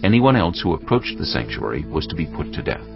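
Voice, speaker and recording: A man with a very deep, gravelly voice narrates scripture. His delivery is solemn and formal, yet the pitch moves expressively. Music plays clearly behind him. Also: big pitch swings, fairly quick. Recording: slightly muffled, music bed behind.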